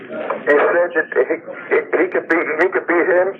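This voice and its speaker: A man's voice: on the high side, fairly even intonation, normal speed, a crowd in the background. A man calling a radio show, arguing in an insistent, challenging way. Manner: insistent, challenging, tags remarks with "eh". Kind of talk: argumentative call-in chat. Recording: tinny phone line on old radio.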